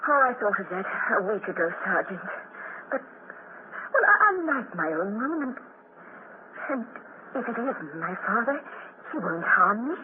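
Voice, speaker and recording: A woman's voice: mid-range voice, very animated, normal speed, pauses often, lightly acted. An actress playing a frightened young woman in a 1950s radio crime drama, tearful and pleading. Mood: scared. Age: young adult. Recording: scratchy old radio broadcast, tinny and hissy.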